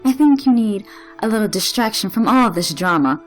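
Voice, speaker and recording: An actress playing a superhero's affectionate, teasing young girlfriend, a model, in an animated comic. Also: medium pitch, a little fast, faint music behind, natural voice.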